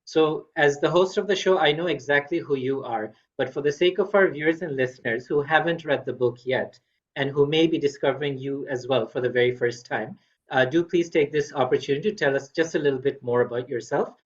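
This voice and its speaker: A man's voice: on the high side, a little fast, highs cut off. A man hosting an interview podcast, polite and formal, with frequent fillers. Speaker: podcast host.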